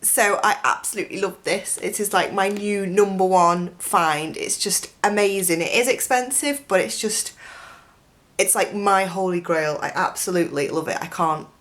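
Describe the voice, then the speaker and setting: A young woman with a croaky voice from a chest infection, chatting through a makeup tutorial in a gushing way, full of "like" and "um", close to the camera in a bedroom. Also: medium pitch, moderate pace, a bit bright. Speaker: beauty vlogger. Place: bedroom with a dressing table, indoors.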